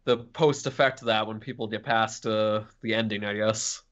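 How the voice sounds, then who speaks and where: A man's voice: fairly low pitch, steady tone, moderate pace, a bit bright, dull audio. A man co-hosting a podcast, chatting casually about video games. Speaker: podcast co-host.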